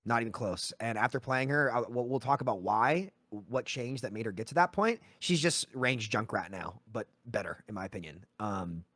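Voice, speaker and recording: A man's voice: medium pitch, normal speed, few pauses, fairly bright tone, dry recording, clean studio sound. A man in his late twenties speaking as a podcast panellist, giving opinions in a casual, emphatic gaming discussion. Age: late 20s.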